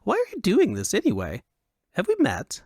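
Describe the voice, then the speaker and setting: A man voicing a vain, excitable, dim-witted foppish nobleman in an audiobook, with an affected, haughty, theatrical delivery. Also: sits high, moderate pace, slightly rough, no room sound, clean studio sound, voice pushed up, exaggerated voice. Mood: puzzled, eager. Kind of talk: audiobook character acting.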